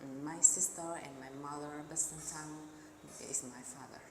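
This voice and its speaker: Low-voiced woman with a Vietnamese accent. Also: fairly even intonation. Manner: halting English, hesitant fillers. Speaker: student. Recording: echoey hall with room noise.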